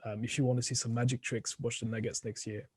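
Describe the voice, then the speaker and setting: A man's voice: mid-range voice, level tone, quick pace, a little mellow, slightly rough, no room sound, clean studio sound. A young man co-hosting a basketball podcast over a video call, speaking wryly. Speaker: podcast co-host. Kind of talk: casual basketball chat. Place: home room, video call.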